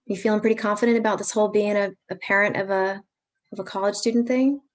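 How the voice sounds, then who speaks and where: A woman's voice: mid-range voice, steady tone, quick pace. A woman hosting a virtual parent information session, talking in a friendly, chatty, reassuring way over a video call. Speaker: pre-college program staff host.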